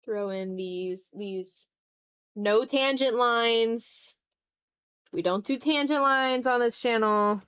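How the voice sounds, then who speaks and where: A woman's voice: fairly high pitch, slow, fairly bright tone, clear, resonant tone. A woman chatting casually on a live art stream as she draws, playful and a bit dramatic, with offhand swearing. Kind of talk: casual live-stream chat while drawing.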